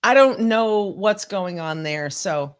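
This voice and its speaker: A woman's voice: mid-range voice, animated, slow. A woman in her fifties co-hosting a live podcast, chatting casually and reading viewer comments aloud, laughing often and swearing freely. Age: 50s. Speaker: podcast co-host.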